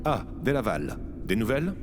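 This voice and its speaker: A man's voice: mid-range voice, very animated, natural voice. Flustered president acted by a man, noisy recording. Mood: flustered, defensive. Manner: hurried, defensive. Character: flustered, vain male president. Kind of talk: scripted audio fiction acting.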